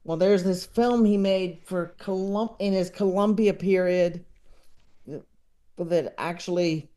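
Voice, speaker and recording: A man speaking as an interview guest, casually discussing comedy in a halting, chuckle-prone conversational way. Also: sits high, fairly even intonation, mellow, clear, resonant tone.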